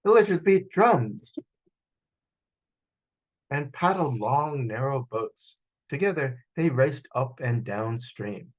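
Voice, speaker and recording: A man reading a children's picture book aloud as a storyteller, in an expressive manner, over a video call. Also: mid-range voice, slow pace, pauses often, dull audio. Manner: expressive, acts out sound effects.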